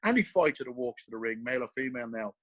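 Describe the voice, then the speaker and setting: A man's voice: mid-range voice, expressive, rapid-fire, a bit bright. Irish male guest, former fighter; earnest, emphatic delivery, mid-range voice. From Ireland. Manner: earnest, emphatic, repeats key phrases. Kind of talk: casual interview.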